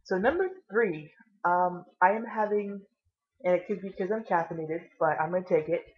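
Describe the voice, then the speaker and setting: A tired, caffeinated woman vlogging casually with a low voice, rambling and swearing now and then. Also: moderate pace, muffled recording.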